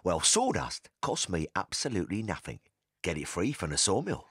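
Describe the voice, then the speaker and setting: A blustering, animated English crook. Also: male voice, sits low, moderate pace, husky, no echo, studio quality, exaggerated voice. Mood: smug boasting. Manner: blustering, scornful, colloquial. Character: crooked, boastful, bullying middle-aged father and used-car dealer. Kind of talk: dramatised audiobook acting.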